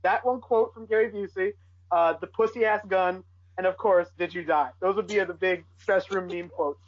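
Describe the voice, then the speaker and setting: A woman's voice: on the low side, fairly even intonation, moderate pace. Woman co-hosting in dull lo-fi audio. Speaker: podcast co-host. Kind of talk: casual chat.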